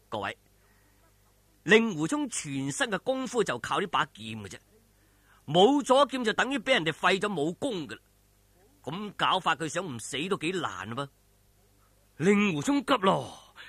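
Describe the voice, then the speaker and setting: A man's voice: a little high, pushed and bright, breathy edge, no room sound. A man with a Hong Kong Cantonese accent telling a martial arts story in a dramatic, colloquial way, with exclamations and vocal sound effects. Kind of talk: animated oral storytelling of a martial arts novel.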